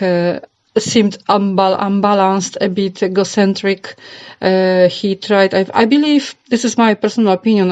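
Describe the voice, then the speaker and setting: A woman's voice: medium pitch, a little mellow. A middle-aged woman from Poland with a Polish accent, giving a casual opinion monologue to her channel in a hesitant, rambling way with frequent repeats. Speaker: channel host. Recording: roomy, slightly dull home recording.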